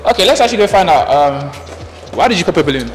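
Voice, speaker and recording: A young man hosting a dating game show, teasing and quick, repeating words for effect, holding a handheld mic in a studio. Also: fairly high pitch, lively intonation. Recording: roomy studio echo with music.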